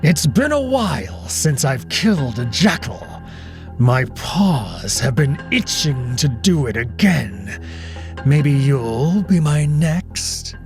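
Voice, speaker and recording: A man's voice: medium pitch, big pitch swings, takes it slowly, faint music behind, voice pushed up. A man voicing an old male wolf aristocrat in a visual novel, with cold, condescending, formally polite menace. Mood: cruel menace. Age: older. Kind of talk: dramatized visual novel reading.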